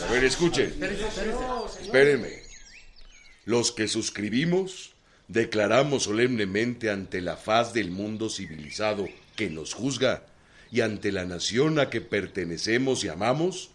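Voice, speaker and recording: Solemn male revolutionary proclaimer. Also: on the low side. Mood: calling for order. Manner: declamatory, solemn, deliberate. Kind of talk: radio drama acting.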